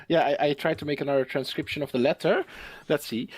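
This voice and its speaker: A man's voice: on the high side, fast, dry recording. A man playing a tabletop roleplaying game, casually talking through his plans and questions.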